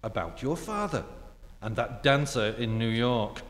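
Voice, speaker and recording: Man acting a slow-talking, roguish charmer. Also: medium pitch, expressive, close to an everyday voice. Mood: smug. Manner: sardonic, mock-gallant, unhurried. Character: suave, teasing, roguish ex-husband. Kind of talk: staged 1940s-style radio comedy acting. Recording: echoey theatre hall recording.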